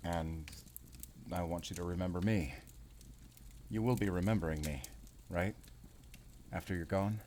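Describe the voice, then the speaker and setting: A man playing a seasoned, commanding yet tender werewolf hunter in an audio roleplay, measured and resolute. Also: low-pitched, mellow, a little hiss or hum. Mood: tender, wistful. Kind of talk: cinematic audio roleplay acting.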